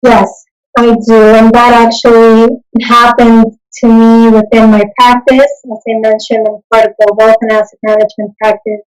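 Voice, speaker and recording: A young woman from Bolivia, a native Spanish speaker, answering interview questions in an earnest, measured way. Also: fairly high pitch, level tone, low-quality recording. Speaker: interview guest, consultant.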